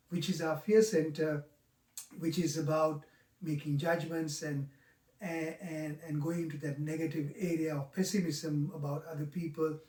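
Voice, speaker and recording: A man in his sixties with a Sri Lankan accent gives a reflective, explanatory talk in an earnest, halting manner, stressing key words. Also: a little high, warm tone. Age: 60s. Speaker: mindfulness teacher. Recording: roomy, echoing, distant microphone.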